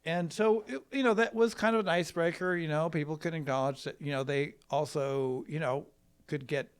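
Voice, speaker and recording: An American man from Wisconsin, a trial lawyer telling his story in a casual, rambling, folksy and halting way. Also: a little high, clear, resonant tone. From Wisconsin, United States.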